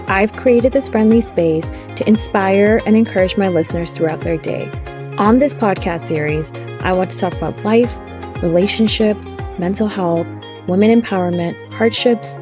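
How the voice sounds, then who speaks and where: A young woman hosting her own podcast, talking casually and openly, sounding congested from a cold. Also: lowish voice. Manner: friendly, open, with fillers. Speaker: podcast host. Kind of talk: casual solo podcast talk.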